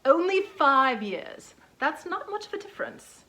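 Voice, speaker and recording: A woman's voice: sits high, big pitch swings, measured pace, bright, forceful tone, heavily acted. A woman playing a timid but earnest genteel bride-to-be in her late thirties in a dramatized novel reading. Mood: nervous, earnest.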